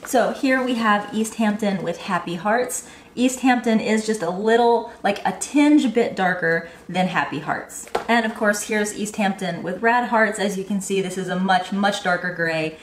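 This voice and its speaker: A woman's voice: medium pitch, moderate pace, slight background noise. Woman shop owner, nasal with a cold, gushing product vlogger; echoey room. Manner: bubbly, gushing enthusiasm. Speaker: online baby boutique owner reviewing bags. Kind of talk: product review vlog.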